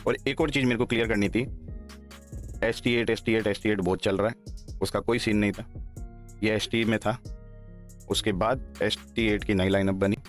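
A man's voice: fairly low pitch, steady tone, moderate pace, long pauses, soft background music. Blunt, heated man on a headset. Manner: blunt, heated, repeats himself. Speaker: esports player. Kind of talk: livestream talk and call recording. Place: small room, sitting in a gaming chair. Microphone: headset mic.

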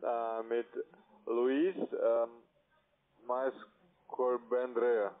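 A man's voice: mid-range voice, little pitch movement, extremely slow. Male phone sales agent, choppy and unsure, non-native wording, narrow telephone audio. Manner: hesitant, choppy, non-native phrasing in German. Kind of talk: halting sales pitch. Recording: thin narrow-band phone line.

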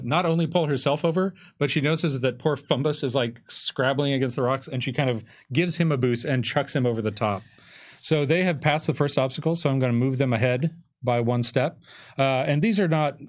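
A man acting as game master, casually narrating a tabletop game in a conversational, descriptive way. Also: mid-range voice. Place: indoors at a gaming table.

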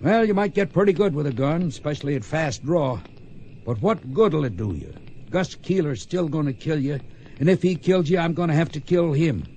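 An actor playing a fond, gruff Old West gunfighting mentor with folksy country phrasing in a radio drama. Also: male voice, fairly high pitch, lively intonation, moderate pace, warm tone, a little hiss or hum. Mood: reflective. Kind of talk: radio drama acting, western.

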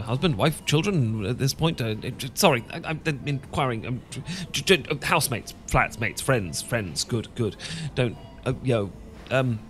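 A man's voice: mid-range voice, close to an everyday voice. A man with a British accent playing an awkward, sentimental English professor in a romantic ASMR roleplay, stammering and hesitant. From Britain. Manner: stammering, hesitant, full of false starts. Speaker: audio roleplay performer.

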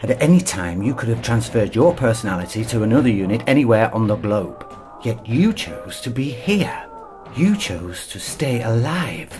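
A man's voice: mid-range voice, sing-song, normal speed, music in the background, close to an everyday voice. An actor playing a sarcastic, condescending, self-serving traveller with menace in his audio drama performance. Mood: menacing.

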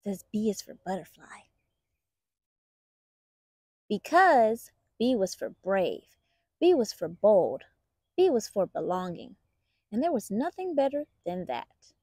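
A woman reading a children's picture book aloud as a storytime host, in an expressive, deliberate storyteller's manner. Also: medium pitch, husky, dry recording, clean studio sound.

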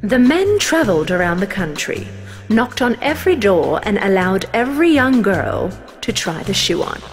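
A woman's voice: medium pitch, sing-song, normal speed, soft background music. A woman narrating a scripted fairy tale as a storyteller.

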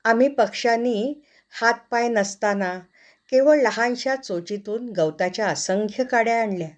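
A woman acts out a little bird from a children's fable. The voice sits high and chirps along in an earnest, advising way, like a well-meaning neighbour with a tip to share. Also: measured pace, close to an everyday voice. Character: small well-meaning birds giving earnest, preachy advice. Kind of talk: children's storybook character voice.

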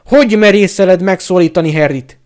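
A man's voice: high voice, darkish tone, pitched above the performer's own voice, close to an everyday voice. A man voicing a vengeful, furious adult man betrayed by his friend, with snarling, accusing delivery in an audiobook. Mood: outraged. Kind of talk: audiobook character acting.

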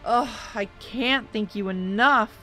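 A woman voicing a captive succubus, pleading and breathy in manner. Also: a little high, takes it slowly, pushed and bright, faint music behind, rough recording. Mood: grateful.

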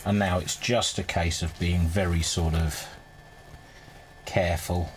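Low-voiced man, casual demonstration. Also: fairly even intonation, measured pace. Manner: informal, thinking aloud while working. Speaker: restorer presenting a hobby repair video. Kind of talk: casual hands-on demonstration commentary. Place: indoor cluttered electronics workbench. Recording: clear background noise, workshop ambience.

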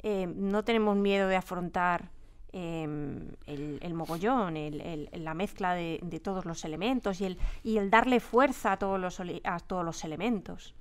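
A woman's voice: lowish voice, moderate pace, smooth. A woman with a Spanish accent from Spain talking casually in an interview, explaining emphatically and repeating words as she searches for phrasing. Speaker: audio drama producer and sound designer, interview guest. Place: radio drama studio.

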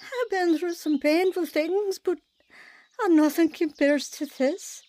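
A woman's voice: very high voice, takes it slowly, dark tone, no echo. An actress playing a troubled young mother telling her painful life story in a radio drama. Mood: anguished. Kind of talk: dramatised first-person narration, radio drama. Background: music.

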